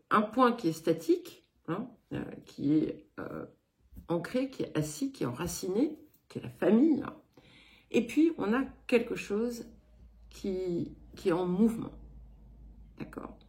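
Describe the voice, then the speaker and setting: An English-born woman with a faint English accent reads oracle cards aloud for her audience. She talks slowly and hesitantly, pausing often with little fillers, in a voice of ordinary middle pitch. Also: darkish tone. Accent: slight English accent in French. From England. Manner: unhurried, hesitant, many pauses and fillers. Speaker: card reader giving a weekly guidance. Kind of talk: slow spoken tarot-style reading.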